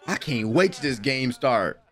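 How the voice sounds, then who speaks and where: A young adult man giving excited, slangy live reaction commentary as a host, full of hyped exclamations. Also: medium pitch, a little slow. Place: small room at home, indoors. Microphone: desk microphone, close.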